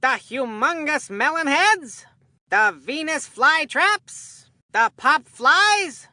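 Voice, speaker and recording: A woman's voice: high-pitched, animated, slow, bright, forceful tone, dry recording, clean studio sound, heavily acted. A woman voicing a goofy, food-obsessed kid baseball commentator in a video game, with a folksy, rambling, earnest delivery. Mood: announcing. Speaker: sports commentator. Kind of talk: cartoonish scripted game commentary.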